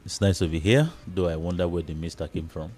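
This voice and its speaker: A man with a Nigerian accent speaking as a panellist in a radio discussion, explaining his views in a reflective, halting way. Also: low voice, steady tone, darkish tone.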